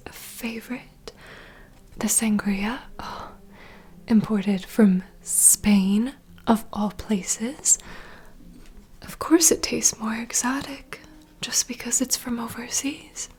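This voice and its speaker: A woman playing a poised, courteous vampire bartender in a whispered ASMR roleplay, unhurried and softly teasing. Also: a little high, breathy edge, heavily acted. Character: poised, welcoming female vampire bartender, proud mixologist.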